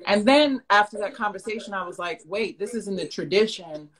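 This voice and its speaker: A woman in her thirties from New York City with a New York accent, hosting a video-call interview in a reflective, measured way. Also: medium pitch, poor audio. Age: 30s. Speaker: host. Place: living room with plants.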